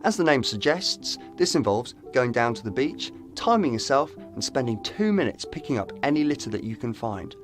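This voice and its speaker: A man's voice: mid-range voice, big pitch swings, moderate pace, hardly any pauses, over music. A young man co-hosting a marine biology livestream in casual, chatty talk. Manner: chatty, reads viewer comments aloud.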